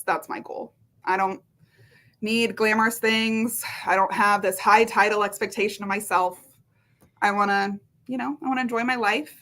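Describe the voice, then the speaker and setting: A woman from Washington state, a guest in an interview, talking in an energetic, chatty way and laughing often. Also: mid-range voice. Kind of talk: casual interview chat.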